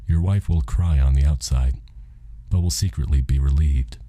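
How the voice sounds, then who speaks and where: A man voicing a calm, matter-of-fact God in an audiobook, casual, deadpan and reassuring. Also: rumbling low, moderate pace, husky, dry recording. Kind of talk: audiobook character dialogue.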